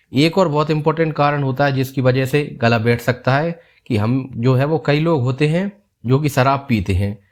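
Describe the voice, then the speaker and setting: Male doctor, mid-pitched, calm step-by-step explainer. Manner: calm, steady explaining, repeats key points. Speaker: doctor explaining health topics. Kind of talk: explanatory health video.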